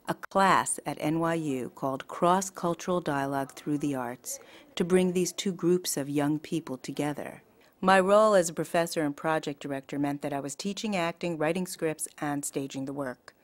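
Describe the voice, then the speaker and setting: A woman who works as an actress, writer and teacher, giving measured, reflective scripted narration. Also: low voice. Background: violin music.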